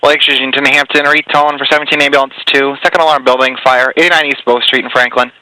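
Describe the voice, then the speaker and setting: A man's voice: medium pitch, flat delivery, quick pace, pushed and bright, poor audio. A man working as an emergency fire dispatcher, relaying calls over two-way radio in clipped, procedural phrases. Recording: compressed radio dispatch audio with hiss.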